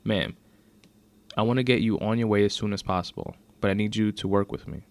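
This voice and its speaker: Guy reading as a by-the-book cop in an audiobook, formal n polite, lowish voice. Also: steady tone, a little fast, lightly acted. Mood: firm, formal. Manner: formal, procedural. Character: polite by-the-book young male transit police officer.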